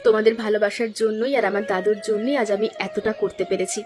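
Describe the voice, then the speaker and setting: A woman's voice: mid-range voice, steady tone, quick pace, darkish tone, faint music behind, natural voice. A woman voicing a kind, devoted young village granddaughter in a cartoon, heartfelt. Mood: gentle, earnest. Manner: emotional, heartfelt. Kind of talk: cartoon dialogue acting.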